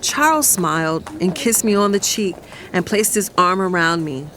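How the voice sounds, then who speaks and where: A woman's voice: lowish voice, animated, normal speed, fairly bright tone, slight background noise. A woman playing a sassy, guilt-torn fiancée who narrates her own romantic drama in a confiding way. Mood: confiding, uneasy. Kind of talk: first-person dramatic narration and romantic dialogue.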